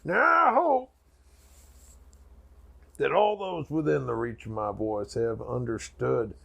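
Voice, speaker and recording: Gravelly, drawling-slow backwoods preacher. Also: male voice, mid-range voice, lively intonation, full character voice. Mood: solemn, folksy. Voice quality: gravelly, raspy. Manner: drawn-out, folksy, dramatic pauses and sudden swells. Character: folksy backwoods male preacher storyteller, grandiose and solemn. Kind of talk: mock sermon storytelling.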